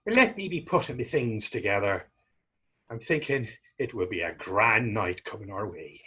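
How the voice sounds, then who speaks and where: Actor as a naive young Irishman, swooping husky voice. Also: on the low side, lively intonation, normal speed, bright, forceful tone, full character voice. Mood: eager, smitten. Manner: rambling, guileless old-fashioned dialect speech. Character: naive, earnest, smitten young Irish fellow. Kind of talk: readers theater stage acting.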